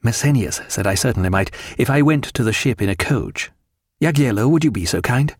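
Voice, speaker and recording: A man voicing a learned, dry-humoured ship's surgeon and fond husband, with formal, measured period phrasing. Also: medium pitch, lively intonation, slightly rough, no room sound. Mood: polite, composed.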